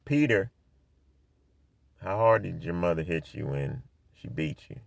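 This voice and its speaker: A man playing a loud, mocking man who confronts and interrogates another person, swearing as he goes. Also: low voice, animated, a little slow, creaky, no room sound, heavily acted. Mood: probing. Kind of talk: dramatic scene reading.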